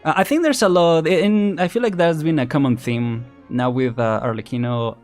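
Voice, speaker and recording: A man's voice: fairly high pitch, moderate pace, a little mellow. Hesitant, rambling male streamer over music. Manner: hesitant, rambling, often repeats words and restarts. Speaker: streamer, vtuber host. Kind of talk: casual livestream commentary, chatting with viewers.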